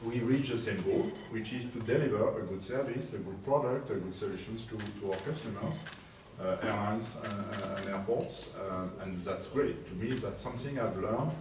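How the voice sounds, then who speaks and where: A man with a French accent speaks on an aviation panel, halting often with fillers. His voice sits low. Heavy hall echo surrounds him, and he was picked up from a distant mic. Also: background noise. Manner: halting, many fillers and restarts. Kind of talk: panel discussion. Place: large conference hall. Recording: echoey hall, distant mic, faint ambience.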